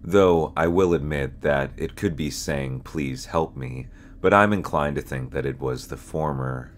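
A young man reading scripted narration as host, in a measured, serious, ominous storyteller manner. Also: very deep voice, slight background noise. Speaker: host and narrator of a horror explainer channel.

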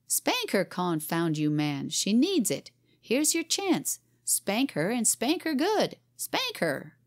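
A woman voicing a stern, excitable male doctor egging a friend on. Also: medium pitch, takes it slowly, voice pushed up, heavily acted. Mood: urging. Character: stern excitable small-town doctor, man.

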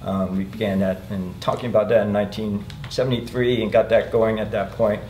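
A man's voice: fairly low pitch, little pitch movement, brisk, a little mellow. A man in his sixties from California recalling his youth in a group interview, easygoing and chuckling often. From California, USA. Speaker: interviewee, taiko founder. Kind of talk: group interview, personal recollection. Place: museum meeting room, seated in a group. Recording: roomy room, faint noise.

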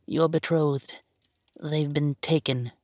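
A man's voice: fairly high pitch, fairly even intonation, slow pace, a little mellow, no room sound, studio quality. A man playing an anxious, deferential servant in a fantasy audio drama, sounding urgent and apologetic. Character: anxious, deferential servant bringing bad news.

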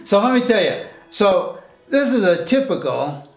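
A man's voice: high voice, big pitch swings, measured pace. A man in his sixties hosting a video, asking questions in a jovial, chatty way and laughing often. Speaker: host, interviewer. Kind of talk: casual interview and vlog talk. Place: artist's home studio, indoors. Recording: roomy echo.

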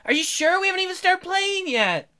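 An encouraging young woman bandmate in a visual novel, played by a man, with a raised pitch. She talks in a breezy, friendly way, always upbeat. There is music in the background. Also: sing-song, brisk, sharp tone, full character voice. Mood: concerned. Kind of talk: visual novel character read-aloud.

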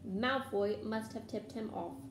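A woman's voice: medium pitch, unhurried, lightly acted. A woman voicing a bossy, exasperated clever schoolgirl in a storybook, scolding with emphasis. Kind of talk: storybook character voice in a read-aloud. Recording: roomy echo, faint background noise.

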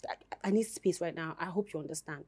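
Husky upset wife. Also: female voice, on the low side, fairly quick, mellow. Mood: pleading. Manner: insistent, repeats herself. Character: upset, firm young wife and mother. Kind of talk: film drama acting.

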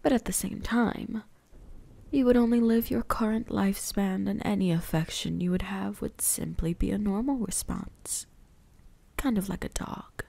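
A woman voicing an ancient, predatory and possessive vampire huntress in an ASMR roleplay, breathy and often whispering, with a teasing, menacing manner. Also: mid-range voice, normal speed, dark tone, dry recording. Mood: cool, musing. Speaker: voice actress.

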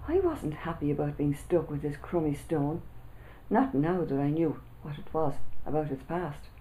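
Author reading: older woman, mellow low voice, lively storyteller, small room. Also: normal speed, slight background noise, low-quality recording. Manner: lively first-person storytelling. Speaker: author reading her book. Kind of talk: children's book reading. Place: small indoor room with printed backdrop.

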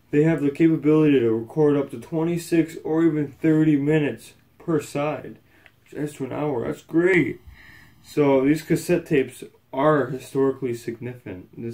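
A young man giving a casual, partly scripted history presentation in a loose, halting way, recorded indoors a few meters from a phone camera. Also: medium pitch, mellow. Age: young adult. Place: small indoor room at home.

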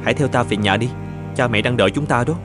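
A man voicing an earnest, devoted young bridegroom in an animated folktale, questioning and polite. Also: mid-range voice, fast, natural voice. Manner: earnest, questioning, old-fashioned polite speech. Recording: music under the voice.

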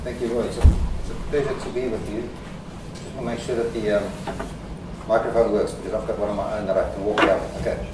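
A man gives a presentation at a fast clip, tossing in wry jokes between his points. He is picked up from a distance in an echoey hall, with clear background noise. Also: lowish voice, fairly even intonation. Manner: wry, joking asides with fillers. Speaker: conference speaker. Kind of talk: presentation talk. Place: conference hall.

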